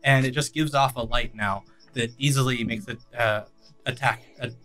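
A man in his thirties narrating as game master of a tabletop game on a headset, halting and relaxed, with many fillers. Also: mid-range voice, faint music behind.

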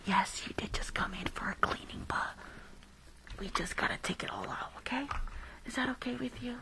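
A woman whispering close to the mic in an ASMR roleplay as a rude dentist, halting and repeating herself with dismissive reassurance. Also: a little high, low-quality recording. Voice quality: breathy whisper with creaky edges.

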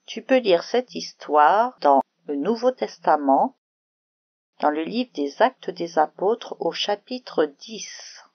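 Low-pitched woman, slow Bible storyteller for children. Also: lively intonation, no echo, lo-fi sound. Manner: simple explanatory address to a child. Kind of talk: narrated children's Bible lesson with prayer.

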